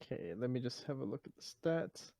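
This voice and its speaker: A man's voice: medium pitch, animated, a little fast, creaky, warm tone, no room sound, studio quality. A man taking part in a tabletop roleplaying game, talking casually with the other players.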